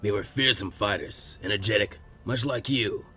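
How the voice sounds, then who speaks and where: A man voicing a calm, kindly old village leader who speaks gravely in a slow, measured way. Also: lowish voice, slight background noise, pitched below the performer's own voice. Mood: solemn, gentle.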